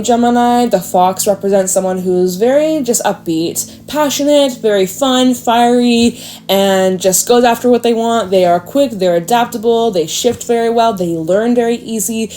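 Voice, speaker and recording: Congested woman reading tarot, mellow voice. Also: medium pitch, slight background noise. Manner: gentle, encouraging, repetitive questioning. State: congested nose. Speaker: tarot reader. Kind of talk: casual tarot reading to camera.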